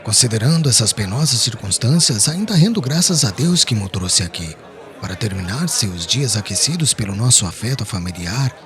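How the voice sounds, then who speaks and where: An actor playing a talkative, respectable older merchant in dramatized audiobook dialogue, speaking in an earnest and formal way. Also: male voice, medium pitch, close to an everyday voice. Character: talkative, respectable older merchant worried about his brother.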